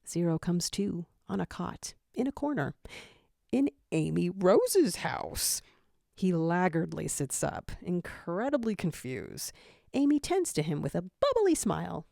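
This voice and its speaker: A woman's voice: fairly low pitch, very animated, unhurried, creaky, dark tone, no echo, clean studio sound. A woman narrating a scripted story in a dramatic style.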